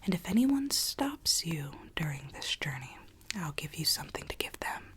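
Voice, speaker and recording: Conspiring fairytale Alice, played by a woman, ASMR roleplay. Breathy whisper, hushed, coaxing, softly menacing. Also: deep voice, very animated, exaggerated voice. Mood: coaxing, scheming. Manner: hushed, slow, coaxing, quietly sinister. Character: whispering mad Alice, conspiring captor seeking her true self.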